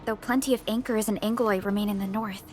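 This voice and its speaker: A woman's voice: mid-range voice, steady tone, fast talker, a little mellow, faint music behind, lightly acted. A woman voicing a composed, studious young officer in a video game, earnest and precise as she explains things. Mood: thoughtful, serious. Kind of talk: video game dialogue acting.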